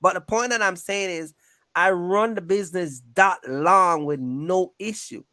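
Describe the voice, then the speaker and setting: A man's voice: high-pitched, unhurried, bright, forceful tone, no echo, clean studio sound. A man chatting casually and emphatically, often checking that the listener follows. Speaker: trucking business owner and video creator. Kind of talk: casual chat.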